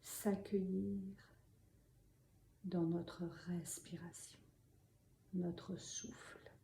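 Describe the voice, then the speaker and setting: A woman leads a guided meditation in a very mellow, soft and breathy voice, often close to whispering. She speaks slowly and calmly, leaving long pauses between gentle instructions. The room adds noticeable echo. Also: fairly low pitch. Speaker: meditation and yoga teacher. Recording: roomy echo, faint background noise.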